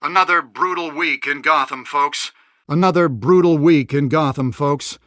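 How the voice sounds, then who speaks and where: Casting: game news anchor, higher-pitched, forceful, polished bulletin read, dry studio sound. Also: male voice, normal speed, no room sound. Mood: brisk, serious. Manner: polished, emphatic newsreader delivery. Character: brisk male city news anchor reporting crime. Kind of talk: scripted news broadcast.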